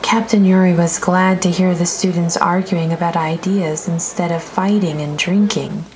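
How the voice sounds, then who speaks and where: A woman narrating a children's book aloud in an expressive storytelling style. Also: lowish voice, moderate pace, a little hiss or hum.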